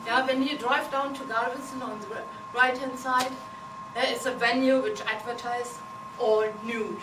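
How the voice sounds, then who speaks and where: A woman's voice: fairly high pitch, fairly even intonation, normal speed. A woman from Germany with a German accent, giving a humorous contest speech with playful, teasing delivery and non-native phrasing. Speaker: contest speaker. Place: meeting hall with audience. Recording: echoey hall from far off, noisy.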